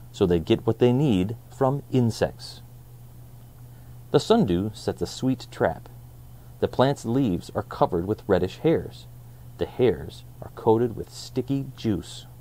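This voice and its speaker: A man in his thirties hosting a children's read-aloud, presenting nonfiction in a deliberate, emphatic storytelling way. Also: lowish voice, warm tone.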